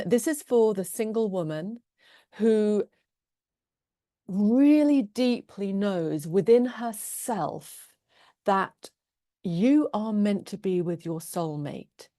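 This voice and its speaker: A woman with a British accent, Norwegian her first language, teaching a live online love-coaching class in an earnest, gentle way. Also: mid-range voice, animated, slow pace, no echo, clean studio sound. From England.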